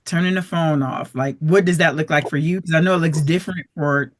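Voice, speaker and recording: A woman's voice: low voice, brisk. Enthusiastic woman interview host. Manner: enthusiastic, affirming, many encouraging interjections. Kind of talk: casual interview conversation.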